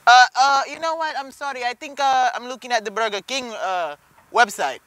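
A man's voice: very high-pitched, moderate pace, sharp tone, no echo, voice pushed up. A man in his twenties playing a polite, confused customer hesitantly ordering at a drive-thru. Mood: confused, insistent. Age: 20s. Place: inside a car at a drive-thru, at night. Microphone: camera from the passenger seat. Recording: faint noise inside a car.

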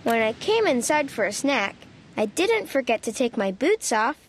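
A woman's voice: on the high side, sing-song, normal speed, slight background noise. A woman narrating a children's storybook expressively, voicing an earnest, forgetful little boy critter with a childlike manner. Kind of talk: expressive children's read-aloud in a young child character's voice.

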